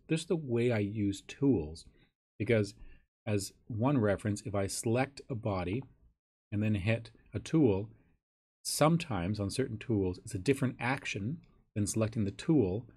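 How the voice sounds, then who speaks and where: A hoarse, raspy man teaching a software tutorial in a casual way, thinking aloud with ums and restarts. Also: fairly low pitch. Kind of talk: screen-recorded software tutorial.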